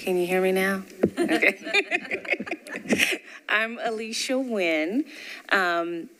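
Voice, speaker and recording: A woman's voice: mid-range voice, slow pace, background noise. A woman from Nashville introducing herself as a new commission member, eager, with many fillers. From Nashville, Tennessee. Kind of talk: self-introduction at a meeting. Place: government meeting room.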